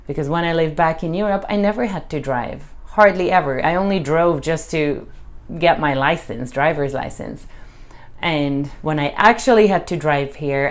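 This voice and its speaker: A woman from Hungary with a Hungarian accent, talking casually and reflectively to camera in a vlog. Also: low voice. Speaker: vlogger.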